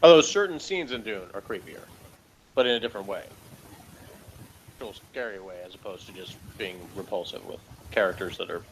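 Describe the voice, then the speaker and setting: A man's voice: on the low side, animated, a little fast, a little hiss or hum, poor audio. A man giving a casual, opinionated review in conversation, blunt and rambling in his criticism. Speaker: co-host of an episode review show.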